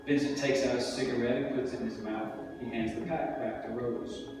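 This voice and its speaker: A man's voice: medium pitch, steady tone, a little fast, recorded from a distance, background noise. Man narrating in a very echoey hall. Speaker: stage direction reader. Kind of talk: scripted narration at a table reading. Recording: very echoey hall from afar, music.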